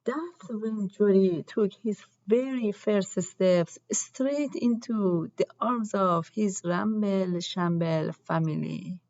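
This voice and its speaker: A woman narrates a picture book for children at an unhurried pace. Her delivery is deliberate and expressive, and her voice sits at a middling pitch on a slightly dull, lo-fi recording. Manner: deliberate, acts out characters' lines. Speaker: storybook reader.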